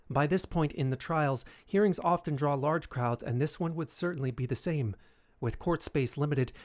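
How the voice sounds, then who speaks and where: A man narrating a scripted audio drama in a measured, formal manner. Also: medium pitch, darkish tone.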